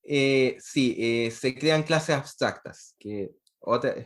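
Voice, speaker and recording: A man's voice: medium pitch, takes it slowly. A man giving an online university lecture on programming, explaining step by step and checking in with frequent rhetorical questions.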